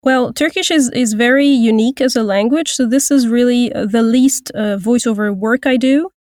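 A woman in her thirties from Egypt, a voice actor and language teacher, speaking thoughtfully with frequent pauses and restarts in an interview. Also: fairly high pitch, a little mellow, no room sound, studio quality. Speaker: guest, voice actor and Turkish teacher. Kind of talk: casual interview.

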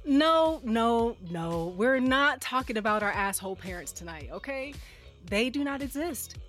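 The feisty young American friend who keeps everyone in line in a horror comedy. Her voice is fairly high and animated, emphatic and sassy, with faint music underneath. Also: a little slow. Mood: exasperated. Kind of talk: horror-comedy audio drama acting.

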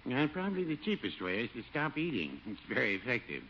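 Mild townsman, dry, deadpan, mid-pitched, wide intonation, radio sitcom, muffled recording. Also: fast. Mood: dryly helpful. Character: dry, mild, unhurried male townsman giving advice. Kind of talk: 1950s radio sitcom acting. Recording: dull, hissy old radio recording.

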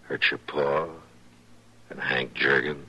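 An actor playing a patient, authoritative frontier marshal in a radio western, speaking plainly and in a measured way with an American accent. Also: male voice, extremely low pitch, level tone, pushed and bright, slightly rough, heavily acted. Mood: gentle, fatherly. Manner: measured, fatherly, plain-spoken. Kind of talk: old-time radio western acting.

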